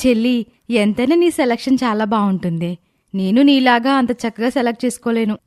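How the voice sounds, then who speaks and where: A woman's voice: on the high side, brisk, darkish tone, lightly acted. A woman voicing young female family members in dramatized dialogue for a moral story. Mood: affectionate. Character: young sisters and mother in a family tale.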